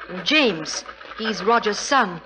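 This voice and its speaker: A woman's voice: medium pitch, lively intonation, takes it slowly. An actress playing a frank, worldly, middle-aged upper-class lady in an old radio drama, arch and chatty as she tells her tales. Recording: noisy vintage radio recording.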